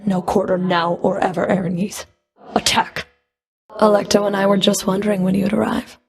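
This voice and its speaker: A woman voicing a stern, sardonic underworld Fury in a video game, menacing and flirtatious, with a creaky voice and cool, clipped, commanding taunts. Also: mid-range voice, flat delivery, moderate pace, lightly acted. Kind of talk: video game character voice lines.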